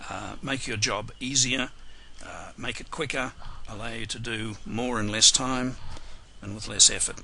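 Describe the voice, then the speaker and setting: A man giving a live software tutorial webinar, hoarse and losing his voice, talking unhurriedly with many fillers and restarts. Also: lowish voice, fairly even intonation, unhurried, a little hiss or hum. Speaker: software trainer.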